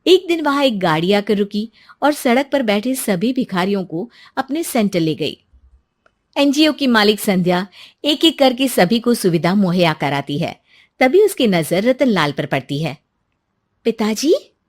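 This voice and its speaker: A woman's voice: medium pitch, big pitch swings, moderate pace. A woman narrating a scripted moral story as its storyteller.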